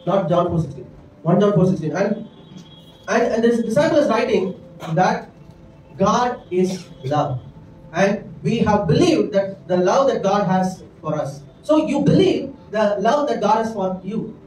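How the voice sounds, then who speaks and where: A man's voice: high-pitched, expressive, a little slow, a little mellow, slight background noise. A middle-aged man with an Indian English accent, preaching from a church stage into a handheld mic, earnest and peppered with asides. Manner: earnest preaching with frequent "right?" and "amen" asides. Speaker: pastor. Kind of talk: sermon. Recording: echoey church room miked from a distance.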